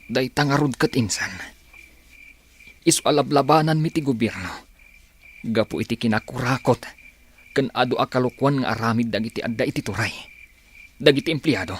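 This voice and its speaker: A man's voice: mid-range voice, normal speed, long pauses, a little hiss or hum, close to an everyday voice. A man playing an earnest, stubborn rebel fighter in an Ilocano radio drama. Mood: resolute.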